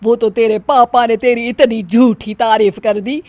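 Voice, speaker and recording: A woman's voice: on the high side, steady tone, unhurried, dark tone, dry recording, close to an everyday voice. A woman voicing an older, bossy mother-in-law in a moral-story cartoon, with an emphatic manner. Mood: scolding, haughty. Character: bossy, scolding older mother-in-law, sometimes sweetly doting.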